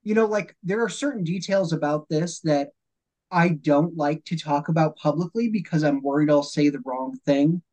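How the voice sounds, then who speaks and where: A man with a somewhat high voice hosts a podcast. He talks in a casual, conversational way, as in an informal interview. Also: moderate pace, a little mellow. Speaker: podcast host.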